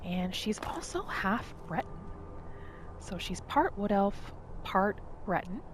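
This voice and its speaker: A woman gently narrating a relaxing game roleplay in a soft, soothing manner. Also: medium pitch, big pitch swings, slow, a little hiss or hum. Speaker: gameplay narrator. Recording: voice over loud game music.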